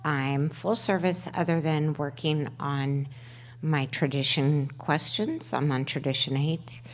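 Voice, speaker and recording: A middle-aged woman with a loud voice sharing her story on a microphone at a twelve-step meeting, halting, with many fillers and restarts. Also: deep voice, fairly even intonation. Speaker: panel speaker at a twelve-step workshop. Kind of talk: personal sharing at a recovery meeting. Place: meeting room. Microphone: handheld microphone.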